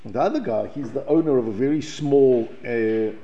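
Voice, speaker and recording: A man's voice: mid-range voice, normal speed, warm tone, slight background noise. A man from South Africa teaching a Torah class, reading Hebrew passages and explaining them in English, with frequent restarts and repeated words. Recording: lo-fi, dull recording.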